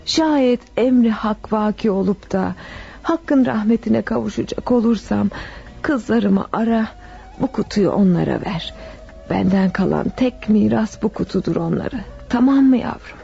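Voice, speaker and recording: An actress in a radio drama playing a frail, sick old widow who speaks affectionately and piously, with sighs. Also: female voice, medium pitch, a little slow, gravelly, warm tone. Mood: solemn. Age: older. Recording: dull lo-fi radio recording, music behind.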